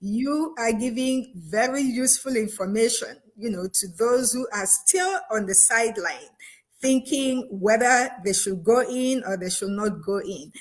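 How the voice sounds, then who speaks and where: A woman hosts a business interview, friendly and a little halting, with frequent ums. She talks slowly in a slightly high voice. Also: animated. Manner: halting, friendly, many fillers. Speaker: host. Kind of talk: interview over video call.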